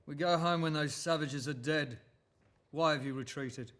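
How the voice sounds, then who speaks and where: A man's voice: on the high side, moderate pace, a bit bright, lightly acted. Man acting a stern, curt fantasy commander. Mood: stern, accusing. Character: stern, unapologetic military commander of forty. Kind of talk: table-read acting of fantasy drama.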